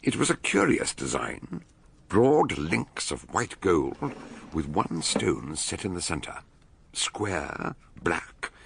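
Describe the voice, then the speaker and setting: An actor playing a learned elderly detective doctor in a radio drama, genial and shrewd, with a ponderous, scholarly manner. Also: male voice, sits low, vocal fry, fairly bright tone, slightly rough, heavily acted. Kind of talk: radio drama acting with first-person narration.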